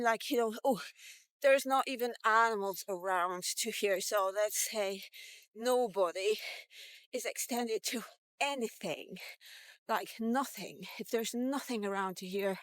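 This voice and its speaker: High-voiced woman in her fifties, slow-paced. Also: a bit bright, no room sound. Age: 50s. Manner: blunt, sweary, thinking aloud. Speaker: vlogger. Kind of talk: casual rambling solo vlog monologue. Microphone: walkie-talkie vlog, recorded on the go.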